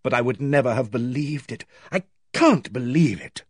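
A man's voice: medium pitch, breathy edge, dry recording, clean studio sound, lightly acted. A man voicing a distressed, disbelieving doctor with a strained delivery in an audiobook. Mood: dazed. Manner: strained, halting. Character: stunned, distressed doctor, brother of the accused. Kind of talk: audiobook character voice.

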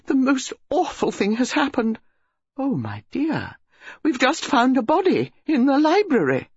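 A reader voicing Mrs Bantry, a breathless, excitable English country lady who gushes about a body found in her library. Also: medium pitch, moderate pace, breathy edge, no echo, dull audio, voice pushed up, heavily acted. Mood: excited. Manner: gushing, breathless.

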